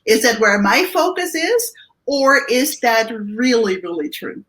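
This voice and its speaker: Dutch-accented woman, animated and fairly high. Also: unhurried. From Netherlands. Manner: animated, thinks aloud with many restarts and "right?". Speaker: guest coach. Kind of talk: conversational interview answers.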